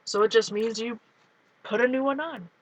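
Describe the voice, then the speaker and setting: A woman's voice: a little high, normal speed, lo-fi sound. A woman with a Canadian accent tinged by Minnesota, chatting in a rambling way to viewers as she flips through her art journals from her couch. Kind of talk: casual chat while flipping through art journals. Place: home, on a couch.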